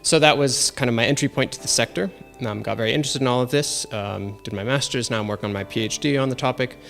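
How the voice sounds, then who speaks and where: A man's voice: mid-range voice, fast talker, creaky, fairly bright tone, faint music behind. A man in his thirties, raised in Tanzania, eagerly explaining his research as an interview guest, with many fillers. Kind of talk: interview answers.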